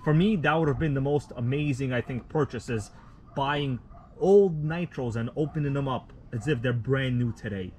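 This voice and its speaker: A man from Russia, a native Russian speaker, hosting a casual live stream outdoors at night, rambling in a relaxed, slangy way with many fillers. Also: mid-range voice, moderate pace, slight background noise, low-quality recording. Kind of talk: casual live stream chat, reading viewer comments.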